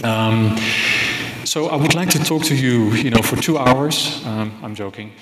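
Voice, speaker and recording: A man from the Netherlands giving a relaxed conference keynote from a stage, often repeating words and making joking asides. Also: medium pitch, measured pace. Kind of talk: conversational keynote talk. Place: conference stage in a large hall. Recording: echoey hall with background noise.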